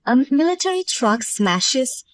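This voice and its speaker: Unhurried female story narrator. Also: sits high, big pitch swings, fairly bright tone, no room sound, far from the mic. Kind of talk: scripted moral story narration.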